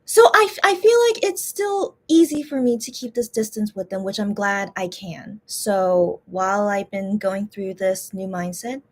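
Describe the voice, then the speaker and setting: A woman's voice: mid-range voice, expressive, moderate pace, few pauses. A woman vlogger chatting casually to her viewers, often repeating phrases for emphasis.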